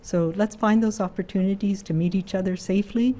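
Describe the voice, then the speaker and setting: A woman health official speaking carefully and gently at a briefing, pausing often with fillers. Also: mid-range voice, fairly even intonation. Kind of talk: press briefing remarks.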